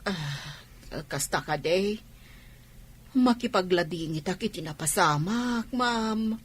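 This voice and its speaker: Woman acting a slow-speaking Filipino household helper. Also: fairly low pitch, expressive, husky, exaggerated voice. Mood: curious, sympathetic. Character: caring, inquisitive household helper, respectful. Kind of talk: Ilocano audio drama acting.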